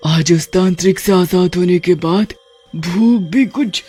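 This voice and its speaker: Casting: male actor as greedy cartoon witch, very high husky voice, gloating delivery. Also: warm tone, soft background music. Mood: hungry. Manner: drawn-out, exclaiming, gloating. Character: greedy, cackling, ill-tempered female witch. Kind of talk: Hindi animated horror-comedy story acting.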